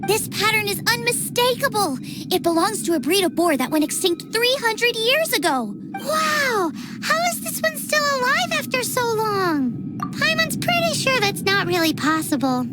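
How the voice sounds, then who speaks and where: A woman voicing an excitable, curious, childlike girl companion in a video game. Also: very high voice, moderate pace, over loud music. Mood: bright, amazed. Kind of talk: video game character dialogue.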